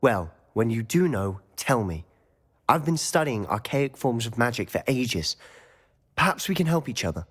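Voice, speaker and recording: Confident schoolboy wizard, echoing hall. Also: male voice, mid-range voice, very animated, normal speed, fairly bright tone, breathy edge. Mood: confiding. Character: confident, inquisitive teenage wizard schoolboy, friendly but secretive. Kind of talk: dramatic video game dialogue. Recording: long reverb with music behind. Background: music.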